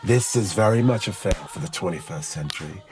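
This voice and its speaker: A man's voice: low voice, animated, a little fast. A man talking in an emphatic, declarative way as a writer and actor presenting a film project. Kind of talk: promotional talk to camera. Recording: music under the voice. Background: loud background music.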